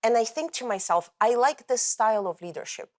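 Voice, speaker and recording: A woman's voice: fairly high pitch, brisk. Passionate woman guest from former Soviet Union. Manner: passionate, animated storytelling. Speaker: interview guest, corporate coaching leader. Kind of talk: conversational interview.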